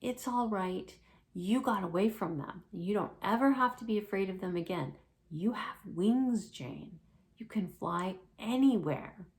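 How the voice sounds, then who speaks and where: A woman's voice: medium pitch, animated, a little slow, darkish tone. Woman voicing a firm, caring young tomcat kitten. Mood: soothing. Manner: firm, coaxing. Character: brave, caring young male kitten, insistent big-brotherly protector.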